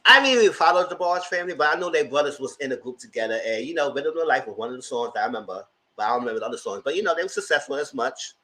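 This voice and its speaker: Man, high light voice, casual live-stream host, animated, bright tone. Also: very fast, hardly any pauses, rough recording. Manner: chatty, laughs often, greets viewers. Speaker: host reviewing a documentary live.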